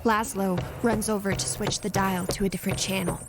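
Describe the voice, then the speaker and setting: A woman's voice: mid-range voice, fairly even intonation, fairly quick, loud music behind the voice. A woman narrating a scripted horror story.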